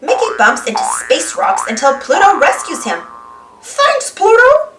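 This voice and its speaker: A woman narrating a children's storybook in an animated read-aloud style. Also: high voice, normal speed, recorded from a distance, over loud music. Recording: roomy, voice away from microphone.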